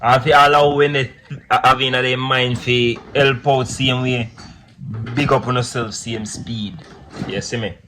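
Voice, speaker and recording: A man's voice: mid-range voice, fairly even intonation, unhurried, bright, forceful tone. Laid-back Jamaican man, noisy recording. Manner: laid-back patois talk with repeated "you see me". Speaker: vlogger. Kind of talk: casual chat.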